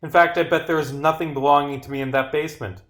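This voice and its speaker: A man's voice: a little high, steady tone, fast talker, fairly bright tone, roomy sound, lightly acted. Visual novel murder suspect, male reader: haughty woman, defiant, dismissive, indignant delivery.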